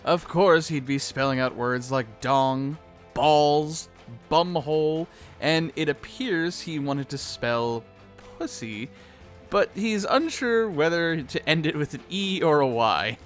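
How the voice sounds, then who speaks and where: A high-voiced man delivers opinionated fan commentary with big, lively swings in pitch. He talks casually and swears freely, as a co-host would, over light background music. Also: takes it slowly. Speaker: co-host of a fan recap show. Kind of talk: animated fan commentary with ranting.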